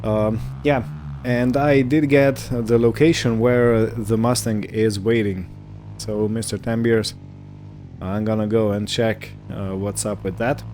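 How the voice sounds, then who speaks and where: A man giving casual roleplay gameplay commentary in a hesitant, rambling, unhurried manner. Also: lowish voice, fairly even intonation, a little mellow, a little hiss or hum. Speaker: gaming video host.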